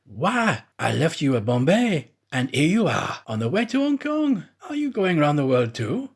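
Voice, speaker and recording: Man playing an astonished, high-swooping, chatty manservant. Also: very animated, normal speed, gravelly, slightly rough, heavily acted. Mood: astonished, puzzled. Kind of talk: acted audiobook dialogue.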